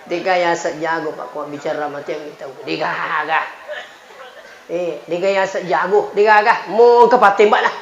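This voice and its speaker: Male preacher, forties, Bugis accent, high-pitched voice, bantering folksy sermon, dull echoey sound. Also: fairly even intonation, moderate pace, gravelly. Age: 40s. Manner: folksy, bantering, repeats phrases to listeners. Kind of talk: humorous sermon mixing two languages. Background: crowd murmur and light noise.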